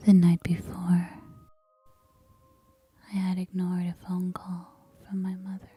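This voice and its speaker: Casting: female apocalypse survivor and rescuer for ASMR roleplay, played by a woman. Frayed, war-weary, whispered throughout, very slow and soft-toned. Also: on the low side, completely flat delivery, no room sound, full character voice. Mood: haunted, somber. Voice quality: breathy, unvoiced whisper. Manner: slow, hushed, halting delivery in short phrases.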